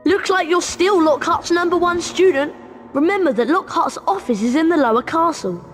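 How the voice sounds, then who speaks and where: A woman's voice: extremely high pitch, animated, moderate pace, in a large hall, over music. A woman playing a friendly, chatty, boyish schoolboy wizard in a video game. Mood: teasing, concerned.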